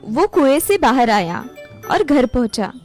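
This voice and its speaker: A woman's voice: sits high, big pitch swings, measured pace, a little mellow, soft background music. A woman narrator reading a scripted moral tale in storybook style.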